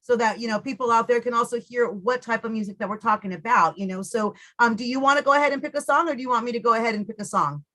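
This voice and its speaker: A woman's voice: fairly high pitch, fast talker, hardly any pauses, fairly bright tone. Informal, rambling female radio host. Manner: informal, rambling, frequent "you know". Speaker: radio show host, interviewer. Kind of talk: casual radio interview.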